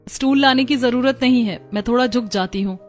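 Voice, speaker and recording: Woman playing a high-voiced young daughter-in-law. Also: brisk, close to an everyday voice. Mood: polite. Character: young Indian daughters-in-law and sisters-in-law, polite, homely. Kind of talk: cartoon family story dubbing.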